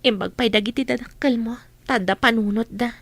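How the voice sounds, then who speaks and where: Sad young waitress Rita, higher-pitched. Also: female voice, steady tone, fairly quick. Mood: polite, downcast. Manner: sorrowful, polite. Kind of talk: radio drama acting.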